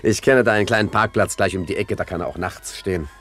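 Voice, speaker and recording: An actor playing a nervous gangster who feigns ease, hurried and stammering, in a satirical radio play. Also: male voice, fairly low pitch, fairly bright tone, slight background noise, lightly acted. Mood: nervous, evasive. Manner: hurried, falsely casual, stammering.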